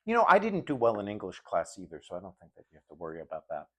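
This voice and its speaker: Guy hosting an interview, real low voice, measured, cracks little jokes. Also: huge pitch swings, slightly rough. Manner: measured, jokey asides. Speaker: interview host. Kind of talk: political interview.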